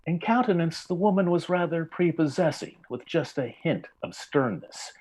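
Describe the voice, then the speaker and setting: A man narrating a story in old-time radio style, with formal, measured literary delivery. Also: a little high, expressive, darkish tone, slightly rough, poor audio.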